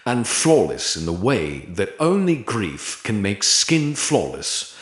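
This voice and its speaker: Slow, low-voiced male dramatic narrator. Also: fairly bright tone, roomy sound. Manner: deliberate, dramatic pauses between short phrases. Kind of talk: scripted dramatic audiobook narration of dark romance.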